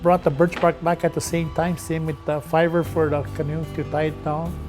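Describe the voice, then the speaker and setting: An older man, a visiting language lecturer, telling in a plain, unhurried way how he came to teach. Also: a little high, little pitch movement, music in the background. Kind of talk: documentary interview.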